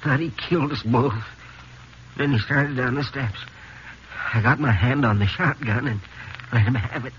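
A man performs a wounded townsman in a Western radio play, short of breath and weak. His rustic speech comes in halting, bewildered gasps. The old recording is dull with a little noise. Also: mid-range voice, a bit bright, close to an everyday voice. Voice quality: breathy, full of air. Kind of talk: 1950s Western radio drama acting. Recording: muffled, noisy 1950s radio recording.

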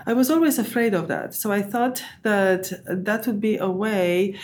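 A woman's voice: mid-range voice, a little mellow, a little hiss or hum. A middle-aged woman with a Romanian accent, answering interview questions carefully, often restarting her sentences. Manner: careful, measured, with many restarts and fillers. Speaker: guest theologian.

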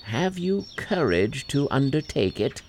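A man's voice: mid-range voice, big pitch swings, darkish tone, a little hiss or hum, voice pushed up. A man voicing a mysterious fairy lady in a storybook, solemn and grave as she gives counsel. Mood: solemn, urging. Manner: grave, deliberate.

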